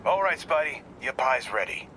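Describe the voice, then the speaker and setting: A man's voice: medium pitch, sing-song, moderate pace, background noise, natural voice. A man voicing a friendly, informal pie shop worker in a video game, with a familiar folksy way of talking. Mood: friendly, casual.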